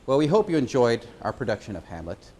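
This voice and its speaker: A man giving an informal explanation as a puppeteer presenting a show, pausing and hesitating as he goes. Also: medium pitch, sing-song, a little mellow, a little hiss or hum.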